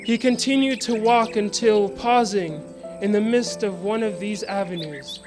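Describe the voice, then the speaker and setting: Slow woman reader over music and birdsong. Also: medium pitch. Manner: reverent, measured storytelling. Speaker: dramatic reader of a historical account. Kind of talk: scripted dramatic reading.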